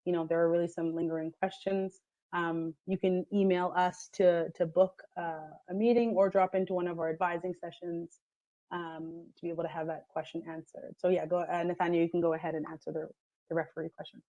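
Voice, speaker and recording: A woman from admissions explains the process in a low, even, flat voice. Her sound is dry and close, and she talks steadily. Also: no room sound, dull audio. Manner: steady, helpful, slightly halting. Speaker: admissions staff. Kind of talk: informative Q&A on a video call. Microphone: video call.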